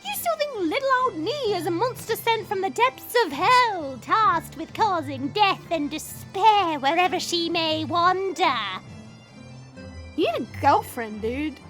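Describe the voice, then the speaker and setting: A woman's voice: extremely high pitch, lively intonation, a little slow, fairly bright tone, soft background music, exaggerated voice. A woman playing a smirking, sarcastic monster woman who chats and mocks in an audio drama. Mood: mocking. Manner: sarcastic, mocking, chatty. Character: smirking, teasing monster woman, short and muscular.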